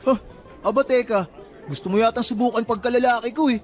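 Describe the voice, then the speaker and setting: A man's voice: very high-pitched, fast talker, faint music behind, some chatter behind. A man playing a flamboyant, sassy college theater student in a radio drama, with a campy, playful, swooping delivery. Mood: boastful, sassy. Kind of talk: Filipino radio drama acting.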